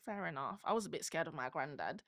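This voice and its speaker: Bright-voiced London woman. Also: low voice, no room sound, studio quality. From South London, England. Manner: animated, slangy, quick to laugh. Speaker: podcast host. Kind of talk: casual chat.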